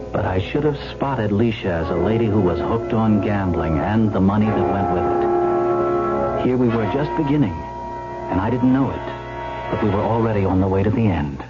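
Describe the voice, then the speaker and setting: A man's voice: lowish voice, lightly acted. An actor playing an easygoing, wisecracking man in an old radio drama, with a wry, confiding and theatrical delivery. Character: easygoing, wisecracking wealthy man haunted by a lucky charm. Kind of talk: 1970s radio drama acting, confiding narration and banter. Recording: dull old radio broadcast with music.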